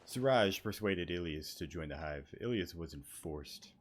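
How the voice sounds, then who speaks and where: A man's voice: low voice, expressive, a little slow, heavy background noise. Slangy, irreverent man commentating on a game. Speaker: gaming content creator. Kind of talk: casual playthrough commentary.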